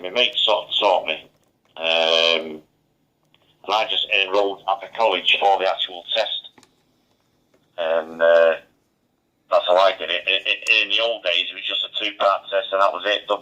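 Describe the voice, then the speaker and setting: A man's voice: deep voice, sharp tone. A middle-aged man, chatting casually and ramblingly as a radio ham over a two-way radio link. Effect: two-way radio transmission. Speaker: amateur radio operator. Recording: muffled digital two-way radio audio.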